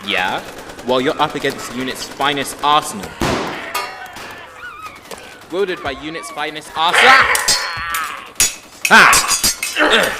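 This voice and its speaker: A man playing a gruff, cocky soldier battling monsters in an audio drama, brash and combative. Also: on the high side, wildly swooping pitch, takes it slowly, long pauses, heavily acted. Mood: combative, straining. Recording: loud gunfire and battle noise behind.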